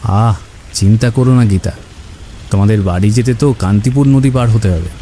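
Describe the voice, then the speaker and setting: A man playing a steady, reassuring village husband in a ghost story cartoon, with a calm manner. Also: on the low side, moderate pace, a little hiss or hum, lightly acted.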